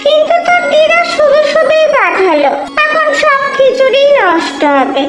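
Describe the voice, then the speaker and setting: Very high-pitched, teasing cartoon mom. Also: big pitch swings, normal speed. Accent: West Bengal Bengali. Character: busy, teasing, mildly exasperated cartoon mother. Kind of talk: cartoon family dialogue. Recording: roomy echo. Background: loud background music.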